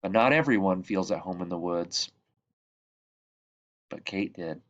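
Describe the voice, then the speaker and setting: A man reading a children's picture book aloud in measured phrases that drop softly at the ends of sentences. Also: sits low, lo-fi sound, slight background noise. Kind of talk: read-aloud storybook narration.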